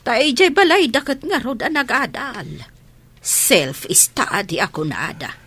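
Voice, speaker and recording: A woman's voice: a little high, sing-song, measured pace, fairly bright tone, breathy edge, full character voice. A woman acting in a radio drama as a stern but caring old grandmother. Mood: worried.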